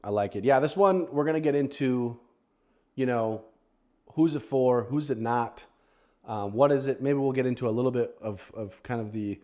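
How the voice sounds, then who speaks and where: A man with a medium-pitched voice co-hosts a podcast. He talks casually, bantering and joking with his partner as in a relaxed chat between friends. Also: moderate pace. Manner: jokey, bantering with his co-host. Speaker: podcast co-host.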